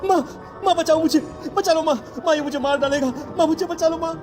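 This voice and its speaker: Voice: very high-pitched, fairly even intonation, fairly quick. Performer as frightened, stammering teenage boy, music behind. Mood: terrified. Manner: stammering, breathlessly fearful, repeating words. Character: frightened teenage schoolboy, anxious son haunted by nightmares. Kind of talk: cartoon horror story voice acting.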